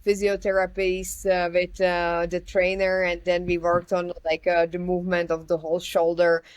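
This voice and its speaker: A woman hosting an interview, asking questions in a halting way as she searches for words. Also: on the low side, completely flat delivery, no echo. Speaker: host.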